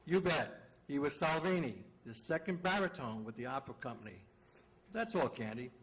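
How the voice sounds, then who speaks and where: A man's voice: medium pitch, animated, moderate pace, a bit bright, close to an everyday voice. An actor playing a blunt, plain-spoken police detective, a teasing man in 1940s radio drama style. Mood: matter-of-fact.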